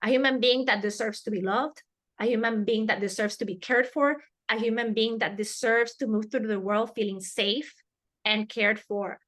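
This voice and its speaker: A woman with a Spanish accent teaches a webinar over a video call. Her voice sits a little high and sounds bright and clear. She talks earnestly and repeats key words to drive them home. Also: steady tone, moderate pace. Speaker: webinar presenter.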